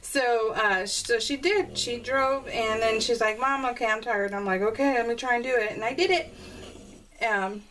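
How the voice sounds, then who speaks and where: A woman's voice: fairly high pitch, a bit bright, a little hiss or hum. A middle-aged woman chatting casually and rambling while she works on a scrapbook layout, laughing often. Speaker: scrapbooking video creator. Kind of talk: casual voice-over while crafting.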